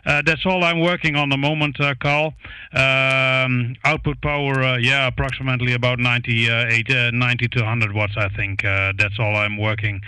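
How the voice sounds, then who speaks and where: A man with a Dutch accent, a native Dutch speaker, making contact as an amateur radio operator, speaking English haltingly with many hesitations. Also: medium pitch, fairly even intonation, bright, forceful tone, clear, resonant tone, dry recording, low-quality recording. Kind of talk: ham radio contact chat. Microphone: close studio broadcast microphone.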